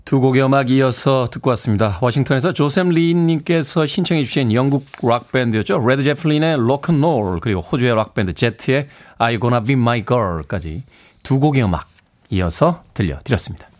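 A man hosting a radio show, presenting and chatting with callers in a lively way. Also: mid-range voice, normal speed, a little hiss or hum. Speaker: radio host.

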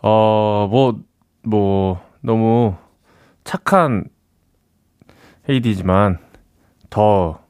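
A man co-hosting a casual radio chat, speaking in hesitant, halting phrases. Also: on the low side, a little mellow, clean tone, no room sound, studio quality. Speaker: radio co-host and musician.

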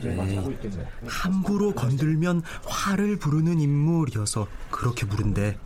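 A young scholar passing on gossip, crowd noise around. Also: male voice, fairly high pitch, big pitch swings, a little slow. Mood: knowing. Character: young male Confucian student sharing rumors. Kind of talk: historical drama reenactment.

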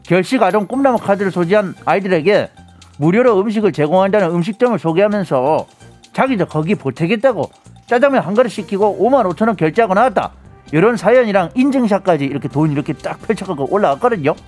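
A man on a radio show who recounts a news story in a playful, chatty way. His voice sits high and swoops up and down a lot. Soft music plays faintly behind him. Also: lively intonation, fast. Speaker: guest commentator. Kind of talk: animated radio chat.

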